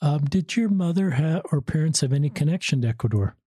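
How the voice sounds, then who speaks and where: A man's voice: a little high, lively intonation, brisk, dark tone, husky, no room sound, clean studio sound. A man hosting a podcast, asking questions in a conversational interview style.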